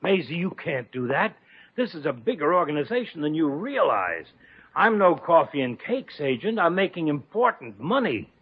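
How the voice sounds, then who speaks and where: An actor playing a shameless, brusque small-time talent agent in a 1950s radio comedy, slangy and rough-edged. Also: male voice, on the high side, big pitch swings, slight background noise. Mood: huffy, dismissive. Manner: slangy, brusque, fast-talking brush-offs. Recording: dull old radio recording.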